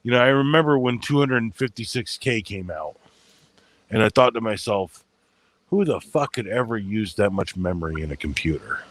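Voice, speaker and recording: A man's voice: fairly low pitch, expressive, moderate pace, fairly bright tone, no echo. Guy hosting a chill hangout, rambly and cussy, soothing voice, dry close sound. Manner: rambling, filler-heavy, swearing freely. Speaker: channel host. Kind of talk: casual group chat.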